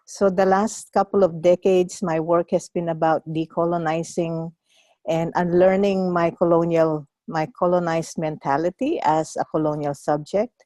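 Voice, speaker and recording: An older woman from the Philippines with a Filipino accent, whose first language is Kapampangan, introducing herself on a video call in a reflective, measured way. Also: on the low side, fairly even intonation, mellow, dry recording. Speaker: workshop facilitator. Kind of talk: online workshop self-introduction.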